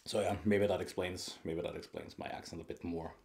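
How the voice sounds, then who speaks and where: A man's voice: low voice, level tone, fairly quick, creaky, a little mellow, a little hiss or hum. A man from Austria with a Viennese accent tinged with Scottish, hosting an ASMR eating video and chatting casually between bites. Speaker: mukbang host.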